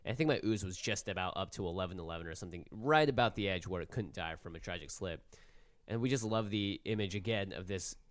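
Male podcast co-host, lowish voice, dry sound, informal and animated storyteller. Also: quick pace, no room sound. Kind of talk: casual storytelling chat.

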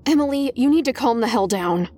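A woman's voice: fairly high pitch, animated, a little fast, dark tone, voice pushed up. A woman voicing a nervous teenage best friend who talks in a tense, sarcastic, snappy way in a story reading. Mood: firm reassurance.

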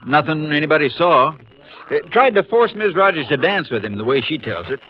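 An American actor with a Texan drawl playing a folksy, plain-spoken small-town sheriff in a 1950s radio western. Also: male voice, on the high side, animated, a little fast, natural voice. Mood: worried, explaining. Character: folksy, dutiful small-town Texas sheriff, grown man. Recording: dull, muffled 1950s radio recording.

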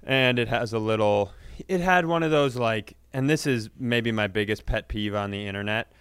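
A man's voice: fairly low pitch, moderate pace, a bit bright, clear, resonant tone, dry recording. A man hosting a comedy podcast, telling sardonic, profane stories in casual banter.

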